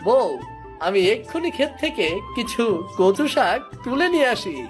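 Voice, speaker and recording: A woman's voice: on the high side, animated, normal speed, soft background music, natural voice. A woman voicing a kind, cheerful young village husband in a cartoon, speaking eagerly and expressively.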